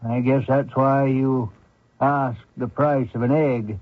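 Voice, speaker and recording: An actor playing a blunt, folksy small-town man who probes strangers, husky, in old-time radio drama style. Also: medium pitch, fairly even intonation, slow, dry recording, phone-quality sound. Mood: chatty, blunt. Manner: folksy, probing, plain-spoken.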